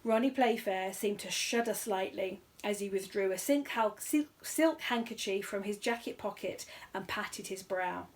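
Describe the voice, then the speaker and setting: A woman reading a children's story aloud in an expressive narrating style. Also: medium pitch, unhurried, few pauses. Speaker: story reader.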